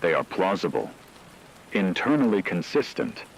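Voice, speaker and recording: A man playing a grave radio news anchor, reading a scripted crisis bulletin in a measured, formal, serious way. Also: sits low, big pitch swings, gravelly, a little hiss or hum, heavily acted, poor audio. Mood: grave, urgent.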